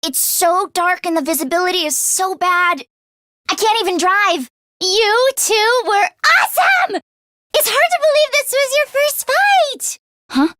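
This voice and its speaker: Casting: teenage girl fighter for a video game, very high voice, anxious and earnest, expressive with hesitant stammers, dry studio sound. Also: no room sound, exaggerated voice. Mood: anxious, worried, then eager, excited, then startled, puzzled. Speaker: voice actress.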